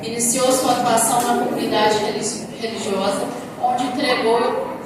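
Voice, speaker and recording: A woman's voice: fairly high pitch, fairly even intonation, moderate pace. Woman, ceremony reader of a prepared text; distant mic, long hall reverb. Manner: reads steadily, with small stumbles and restarts on words. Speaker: reader of honorees' biographies. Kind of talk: reading a prepared text aloud. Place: council chamber during a formal session. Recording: echoey hall from far off, loud noise.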